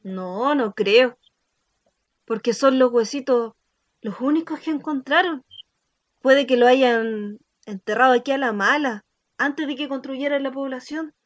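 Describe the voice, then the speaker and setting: A woman's voice: high-pitched, a little slow, no room sound, dull audio. A woman playing a frank, earthy neighbour in a radio drama, with a Chilean accent and blunt, colloquial talk. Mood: gossiping.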